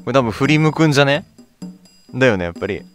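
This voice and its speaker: A man narrates his own gameplay in a casual, self-questioning way. His mid-pitched voice moves up and down freely, with game music playing behind him. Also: animated, fast. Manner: murmurs to himself in questions while playing. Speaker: let's play host. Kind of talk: casual gameplay commentary, reading out dialogue. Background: video game music.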